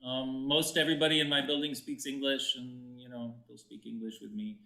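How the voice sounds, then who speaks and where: A man's voice: medium pitch, level tone, brisk, vocal fry, pushed and bright. A man with an American accent chatting casually as an interview guest, searching for his phrases as he talks. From United States. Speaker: actor and voiceover artist, interview guest. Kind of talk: casual chat on a live interview show. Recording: roomy, echoing indoor sound.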